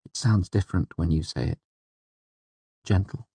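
A man voicing a teasing, gentle yet guarded young woman in audiobook dialogue, speaking softly. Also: deep voice, normal speed, slightly rough, dry recording, heavily acted. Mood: soft, wistful. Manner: soft, teasing.

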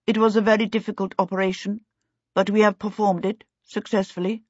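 A woman voicing a tall, calm male elf who speaks in a measured, precise and coolly polite way. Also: mid-range voice, dry recording, lightly acted. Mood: calm formal. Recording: dull, dry sound.

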